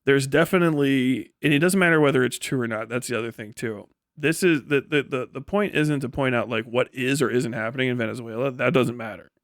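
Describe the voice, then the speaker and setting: A man's voice: medium pitch, brisk, hardly any pauses, dry recording, clean studio sound. A man hosting a livestream, riffing casually on politics in blunt, profane language with a sarcastic streak. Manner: sarcastic, acts out mock back-and-forth dialogues. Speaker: livestream host and commentator. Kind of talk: casual political commentary, riffing to live chat.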